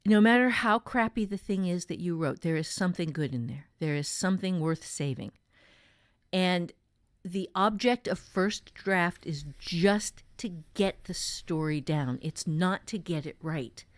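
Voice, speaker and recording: A woman's voice: fairly low pitch, measured pace, no room sound, clean studio sound. A woman novelist chatting casually as she gives blunt, emphatic, sometimes sweary advice on writing fiction. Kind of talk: casual podcast conversation giving writing advice.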